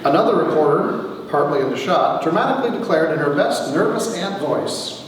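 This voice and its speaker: Man, wry storyteller, lively intonation, theatrical pauses, distant and reverberant hall recording. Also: a little high. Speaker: author reading his own story. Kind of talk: dramatic reading of a humorous memoir to an audience. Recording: echoey hall from far off, faint noise.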